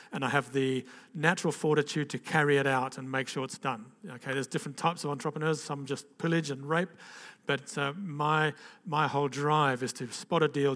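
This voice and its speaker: A man's voice: fairly high pitch, a bit bright. An older man tells his testimony to a gathering in a slightly raised voice. He rambles conversationally, restarting sentences and checking in with "okay?". His voice carries a long reverb, as in a large hall. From Zambia and Zimbabwe. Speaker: speaker giving a personal testimony.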